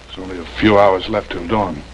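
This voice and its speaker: An actor playing a blunt, defiant civilian army scout with folksy frontier speech in a 1950s TV western. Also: male voice, low-pitched, steady tone, a little fast, dull audio, slight background noise, natural voice. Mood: urgent. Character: plain-spoken, defiant civilian army scout, protective and wary. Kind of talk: 1950s television western acting.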